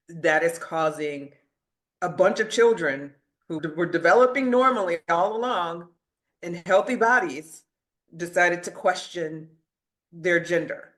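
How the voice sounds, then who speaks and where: A man with a fairly high, bright voice speaks as a physician guest on a podcast. He argues his points emphatically, often firing off rhetorical questions and breaking into short laughs between them. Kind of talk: podcast discussion.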